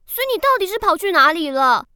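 A woman acting as a scolding but caring older sister in a children's radio drama, with a brisk, bossy delivery. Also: very high voice, very animated, pushed and bright, dry recording, studio quality, heavily acted.

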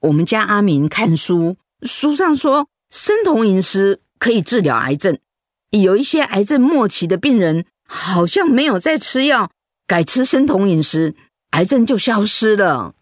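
A woman's voice: medium pitch, sing-song, unhurried, no echo, clean studio sound. An actress playing a stubborn woman of eighty in a radio drama, plaintive and insistent. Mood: chatty, persuading. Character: stubborn eighty-year-old mother refusing treatment. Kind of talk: Mandarin radio drama acting.

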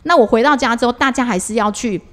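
A woman's voice: a little high, fast talker, a bit bright. A woman with a Taiwanese Mandarin accent in a casual podcast chat, telling stories expressively as co-host.

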